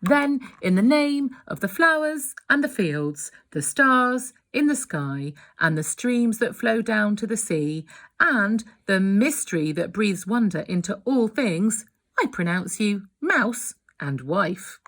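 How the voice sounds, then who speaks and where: A woman voicing a kindly old male vole who performs a wedding, speaking solemnly and warmly. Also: mid-range voice, expressive, slow pace, pitched above the performer's own voice.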